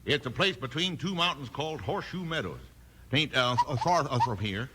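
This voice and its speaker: Actor as dithering old Western sheriff, swooping voice. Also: male voice, medium pitch, lively intonation, lightly acted. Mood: eager, muddled. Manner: hemming, stammering, drawn-out folksy delivery. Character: slow-witted, folksy, good-natured old male Western sheriff. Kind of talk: 1950s radio Western comedy acting. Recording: noisy, crackly old radio recording.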